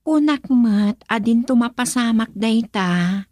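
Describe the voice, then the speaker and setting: A female actor with a Filipino accent and a breathy voice, playing a guarded, dutiful young woman in a romantic radio drama. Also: fairly high pitch, animated, slow pace, darkish tone, dry recording. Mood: torn, reserved.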